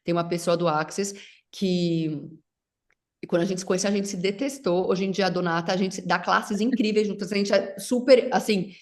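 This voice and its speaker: A woman's voice: fairly low pitch, fairly even intonation, normal speed, a little mellow. A middle-aged woman whose first language is English, talking casually as a facilitator guest, rambling with restarts and English words mixed in. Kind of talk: casual conversation.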